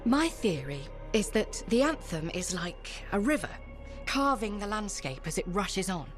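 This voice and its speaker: A wry curious scholar. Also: female voice, medium pitch, very animated, measured pace, soft background music. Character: woman scholar, witty and curious.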